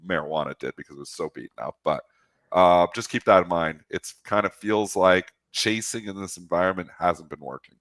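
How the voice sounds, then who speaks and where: Lower-voiced casual co-host. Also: male voice, brisk, a bit bright, no echo. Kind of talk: casual live show chat.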